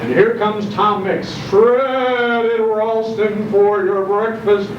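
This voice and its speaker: A man's voice: high-pitched, steady tone, slow pace, highs cut off. A man doing a theatrical old-time radio announcer, echoey. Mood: hearty sales pitch. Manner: theatrical, emphatic, proclaiming. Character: booming, excited male 1940s radio serial announcer. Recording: echoey, distant, noisy live hall recording.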